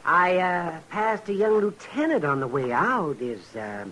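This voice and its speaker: An American actor playing a crotchety, scheming old-radio western town doctor with a folksy, hemming delivery and a husky voice. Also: male voice, high-pitched, sing-song, measured pace, fairly bright tone, slight background noise, exaggerated voice, poor audio. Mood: jovial, wheedling. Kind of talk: mid-century American radio drama acting. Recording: old-time radio recording.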